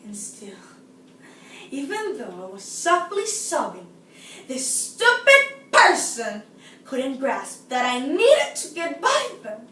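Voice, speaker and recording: A seventeen-year-old woman from Nicaragua playing a frustrated, self-absorbed, unhinged woman in a dramatic, confiding stage monologue that swings between hushed and shouted, recorded in a roomy space at a distance. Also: high voice, huge pitch swings, takes it slowly, heavily acted. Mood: tearful, simmering. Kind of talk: theatrical audition-style stage monologue. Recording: roomy, distant mic, faint noise.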